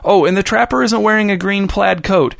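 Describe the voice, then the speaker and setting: A man's voice: sits high, brisk, gravelly, no room sound, clean studio sound, voice pushed up. A man voicing a frightened but determined young girl pleading with her father.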